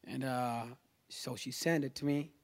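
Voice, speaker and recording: Chilean man giving a halting personal testimony. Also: mid-range voice, fairly even intonation, creaky, clean tone. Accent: Chilean Spanish. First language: Spanish. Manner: halting, informal, peppers talk with "you know" and "man". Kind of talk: personal religious testimony, spontaneous storytelling.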